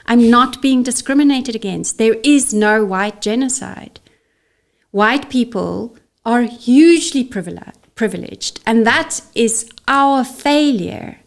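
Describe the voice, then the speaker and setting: A woman's voice: a little high, slow pace. South African woman, Afrikaans native, interview guest; outspoken, emphatic, stumbles and restarts. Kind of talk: interview conversation.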